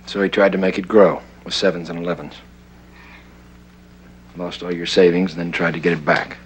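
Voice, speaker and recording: An actor playing a cool, terse masked vigilante in a 1960s American television crime drama, questioning with authority. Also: male voice, low voice, level tone, normal speed, a little hiss or hum, close to an everyday voice. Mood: grave, calm. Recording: 1960s TV soundtrack with music underneath.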